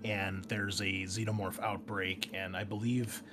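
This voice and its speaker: Male game master, low voice, casual and halting explainer piecing things together from memory, some background noise. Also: level tone. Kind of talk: casual explanation of a tabletop game's story.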